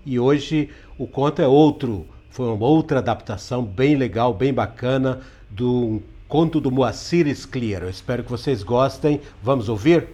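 A man's voice: mid-range voice, measured pace, mellow. A man hosting a podcast with an informal introduction. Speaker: podcast host. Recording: prominent guitar music behind. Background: guitar music.

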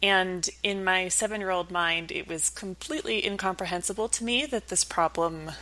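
A woman's voice: on the low side, moderate pace, sharp tone, rough recording. A woman speaking as an academic guest in an interview, explaining things in a reflective, conversational way. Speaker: academic researcher as podcast guest.

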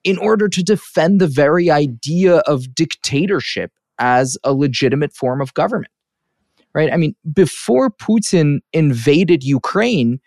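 A man's voice: on the high side, animated, unhurried, a little mellow, no room sound. Earnest male interviewer, dry close sound. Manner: earnest, explanatory, checks in with "right?". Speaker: interviewer, host. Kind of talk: conversational interview commentary.

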